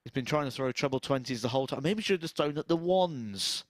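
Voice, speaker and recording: A man's voice: mid-range voice, expressive, fast, no echo. A man co-commentating on live darts, giving enthusiastic, opinionated analysis and predictions. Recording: noisy live commentary feed.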